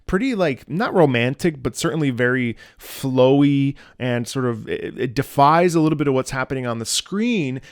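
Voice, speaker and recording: Man with creaky voice, casual commentary. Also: medium pitch, animated, normal speed, hardly any pauses, dry recording, clean studio sound. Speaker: reaction host, opera singer. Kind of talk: casual music analysis commentary.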